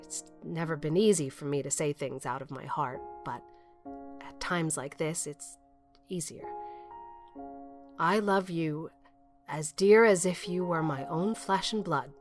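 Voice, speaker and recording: A woman playing a stern older spinster who speaks plainly, haltingly and with restrained tenderness in an audio storybook. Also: low voice. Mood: tender confession. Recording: music under the voice.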